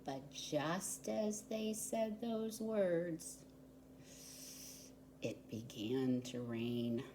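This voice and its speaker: A woman reading a picture book aloud to young children in an expressive, deliberate storytelling manner. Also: low voice, noisy background. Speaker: volunteer story reader.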